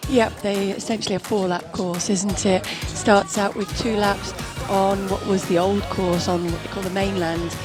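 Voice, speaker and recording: A woman giving live race commentary, explaining the course and the field in a measured, analytical way. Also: medium pitch, flat delivery, music in the background.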